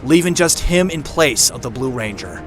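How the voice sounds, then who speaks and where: A man's voice: medium pitch, lively intonation, normal speed. A man reading scripted action narration for an audio drama. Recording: loud music bed. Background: loud music.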